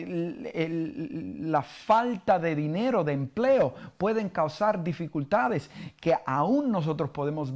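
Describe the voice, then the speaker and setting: A man from Cuba with a Cuban Spanish accent, a pastor teaching a bible study sermon, halting with many fillers and questions to his listeners. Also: on the high side, darkish tone.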